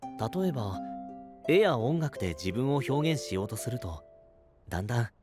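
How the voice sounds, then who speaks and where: A male actor playing a gentle, responsible young knight in an audio drama, soft-spoken and earnest. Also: mid-range voice, big pitch swings, normal speed, over music, close to an everyday voice. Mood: calm, reflective. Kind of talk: Japanese anime-style audio drama acting.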